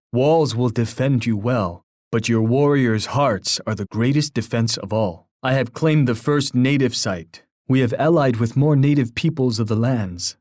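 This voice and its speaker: Guy doing a solemn proud war chief for a strategy game, formal poetic speeches, mid pitch, really dry recording. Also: darkish tone, dry recording, close to an everyday voice. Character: proud, solemn Native war leader and ally. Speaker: video game character voice actor. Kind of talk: scripted strategy game voice lines.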